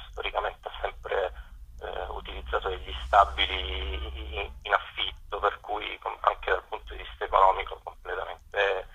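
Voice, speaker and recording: A man's voice: on the low side, gravelly, sharp tone, breathy edge, dull audio. A man giving unscripted radio interview answers as a guest, often hesitating and searching for words.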